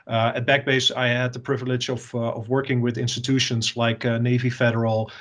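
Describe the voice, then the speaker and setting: A man from the Netherlands with a Dutch accent, an executive answering interview questions and often pausing with fillers and repeated words. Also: medium pitch, little pitch movement, a bit bright. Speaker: software company executive.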